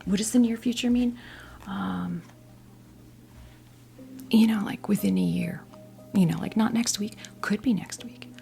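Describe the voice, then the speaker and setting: A woman in her fifties gives an ASMR tarot reading in a breathy whisper, halting often as she reassures the listener. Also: mid-range voice. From New Jersey, United States. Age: 50s. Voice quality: breathy whisper mixed with soft creaky voice. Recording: music playing behind the voice. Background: music.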